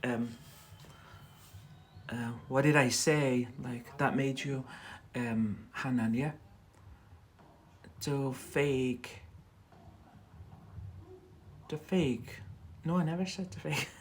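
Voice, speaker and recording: An English teacher hosting a casual live lesson, speaking haltingly and repeating words as drills while chatting with viewers. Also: mid-range voice. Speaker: English teacher, livestream host.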